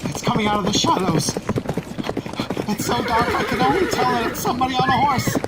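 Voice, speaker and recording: A man's voice: very high-pitched, big pitch swings, a little fast, very noisy. A man playing a timid, superstitious, fussy schoolmaster on horseback in an audio drama, talking nervously and theatrically to himself and his horse. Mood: frightened. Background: horse hooves and night ambience.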